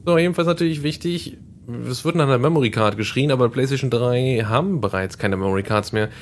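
A man's voice: medium pitch, quick pace, a little hiss or hum. A man hosting a Let's Play, chatting casually and explaining the game as he plays. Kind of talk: casual gameplay commentary.

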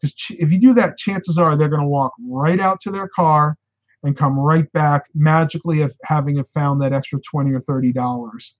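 A man in his thirties, hosting a casual live stream from a room at home, talking animatedly and getting a bit hoarse. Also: fairly high pitch, normal speed. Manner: animated, gestures with hands while talking. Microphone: webcam at desk. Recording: slightly dull lo-fi webcam sound.

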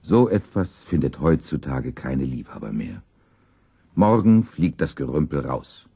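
Man as a very deep, sighing henpecked husband. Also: very animated, unhurried, gravelly, dark tone, husky, heavily acted. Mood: resigned. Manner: sighing, placating. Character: henpecked, placid junk shop husband, sighing.

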